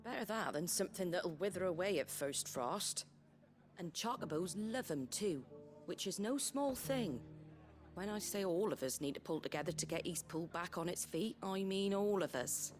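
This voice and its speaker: An actress voices a practical, caring innkeeper woman from a fantasy game. Her voice sits a little low, with a folksy, regional working-class English accent. She talks bluntly and wryly over a soft bed of music. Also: expressive, normal speed, close to an everyday voice. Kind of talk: fantasy video game voice acting. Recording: music behind the voice.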